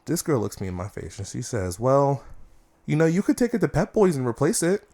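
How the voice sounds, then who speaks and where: Lively male co-host telling stories. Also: medium pitch, fairly quick. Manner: storytelling, profane, playful. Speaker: podcast co-host. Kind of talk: casual chat.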